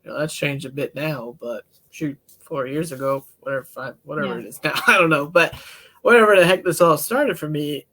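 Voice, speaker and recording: A man talking as a counselor in a casual, candid discussion, with a relaxed, folksy manner. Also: on the high side, a little fast. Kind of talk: casual podcast-style discussion.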